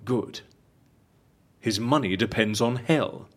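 An actor in an audio drama playing an inquisitive, excitable agent of a shady secret agency, with a husky voice. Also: male voice, mid-range voice, slow pace. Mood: scheming. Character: curious, probing male agent for secret death-faking agency.

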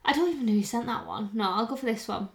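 A woman's voice: on the high side, fast talker, vocal fry. A woman co-hosting a podcast, reading out listener questions and giggling in casual chat. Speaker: podcast co-host. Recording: echoey room.

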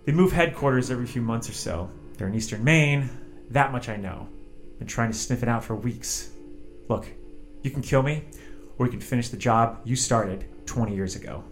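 A man's voice: medium pitch, moderate pace, faint music behind, close to an everyday voice. A man playing a wary, grieving former test subject with psychic visions in an audio drama, speaking in an earnest, defensive way. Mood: determined.

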